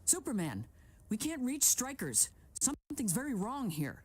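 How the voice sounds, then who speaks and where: Game role: no-fuss policewoman, urgent, rough-edged voice with lively intonation. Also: fairly high pitch, unhurried, exaggerated voice. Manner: urgent, businesslike. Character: Maggie, capable businesslike female police officer. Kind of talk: video game cutscene acting.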